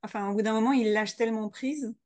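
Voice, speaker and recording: A woman's voice: fairly high pitch, quick pace, a little mellow, dry recording. Interviewing woman, dry close sound. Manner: sums up and asks back, with frequent short agreements. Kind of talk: interview conversation.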